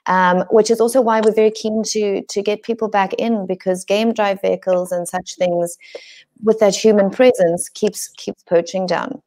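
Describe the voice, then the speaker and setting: A Zimbabwean woman in her forties hosts a webinar from home over a video call. Her voice is low-toned and gravelly, with a warm, dark tone. She sounds enthusiastic but hesitant, filling pauses with ums. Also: steady tone. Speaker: lodge host. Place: home room indoors.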